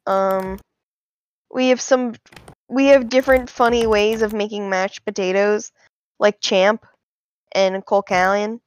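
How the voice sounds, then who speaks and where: A woman from Ireland, chatting casually on a podcast, halting with frequent ums. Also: mid-range voice, fairly even intonation, clean tone. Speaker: podcast co-host. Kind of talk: casual chat. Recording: dull lo-fi mic.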